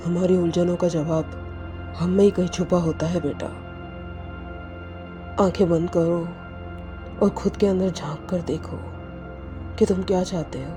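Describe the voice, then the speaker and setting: A woman acts out a wise, kindly older man in an audio drama. The delivery is calm and gentle, with music clearly behind it. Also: fairly low pitch, slow pace, long pauses.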